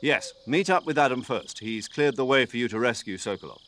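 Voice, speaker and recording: A man voicing an older military commander in a video game, precise and drily good-humoured, articulate and measured as he briefs an agent. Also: medium pitch, lively intonation, fairly bright tone, slight background noise, natural voice. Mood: businesslike, grave. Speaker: mission commander giving a radio briefing.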